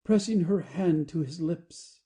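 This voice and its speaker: A woman's voice: lowish voice, lively intonation, a little slow, warm tone. A woman giving scripted narration, reading out the stage directions of an audio play.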